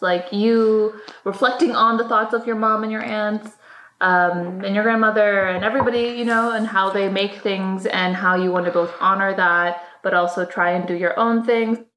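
A woman's voice: mid-range voice, steady tone, unhurried, fairly bright tone. Chatty woman in a roomy space. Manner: chatty, with fillers and laughs. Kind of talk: casual recollection. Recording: roomy sound, faint music.

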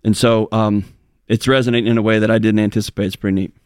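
An earnest man co-hosting a talk show, rambling a little and restarting his sentences as he talks. He speaks quickly in a somewhat low voice. The sound is close and dry. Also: little pitch movement, clear, resonant tone, no room sound. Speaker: co-host. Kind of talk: casual talk show conversation.

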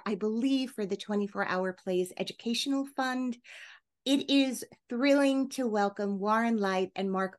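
Woman, podcast host, medium pitch, effusive, enthusiastic, conversational introductions.

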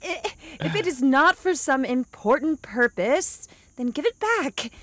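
An uneasy lady's maid in a radio drama. Her high voice moves slowly and hesitantly, questioning as she goes, in a close dry sound. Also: sing-song, fairly bright tone, no echo, exaggerated voice. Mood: uneasy, pleading. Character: uneasy lady's maid, dutiful wife of a schemer. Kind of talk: theatrical radio play acting.

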